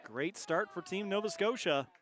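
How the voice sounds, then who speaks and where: A man's voice: high-pitched, animated, normal speed, fairly bright tone. A man calling live play-by-play as a sports commentator.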